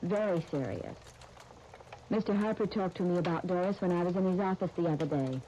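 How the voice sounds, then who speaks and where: A woman's voice: fairly low pitch, fairly quick, warm tone, lightly acted. An actress playing an earnest, patient American career woman in her thirties in a 1930s radio serial. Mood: earnest, hesitant. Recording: dull, noisy 1930s radio recording.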